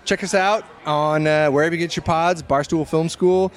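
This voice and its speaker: Male podcast guest, higher-than-average pitch, animated casual storyteller. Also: moderate pace. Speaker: podcast guest, co-host of a film podcast. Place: on location at a Halloween event.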